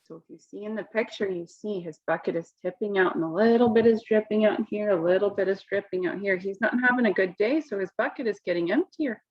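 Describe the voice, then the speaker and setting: A woman reading a picture book aloud to children, expressively, pausing to comment and ask simple questions. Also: medium pitch, dull audio. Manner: expressive, asks simple questions of young listeners. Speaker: storybook reader.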